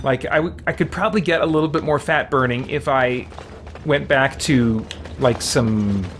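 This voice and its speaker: A man's voice: mid-range voice, moderate pace, background noise. A man livestreaming a game in a casual way, musing aloud as he chats and reads game text. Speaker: game streamer.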